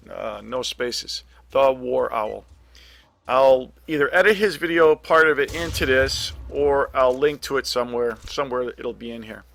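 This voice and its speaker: A middle-aged man with a scratchy, gravelly voice, casually commenting on a game replay, hesitant with many ums and restarts. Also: mid-range voice, sharp tone. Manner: hesitant, lots of ums and restarts, thinking aloud. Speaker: gaming commentator.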